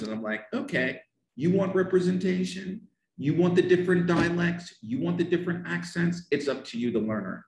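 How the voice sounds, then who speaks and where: An American man with a Northern New Jersey accent talks about teaching English pronunciation, passionate and emphatic, often repeating phrases for stress. His voice sits at an ordinary pitch for a man. It carries a roomy echo around it. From United States. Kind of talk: passionate podcast conversation.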